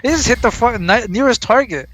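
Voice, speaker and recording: A man's voice: very high voice, lively intonation, fairly quick, fairly bright tone, slight background noise. A man doing casual color commentary on an esports match, whose first language is Arabic, rambling and hunting for words with many fillers. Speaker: esports caster.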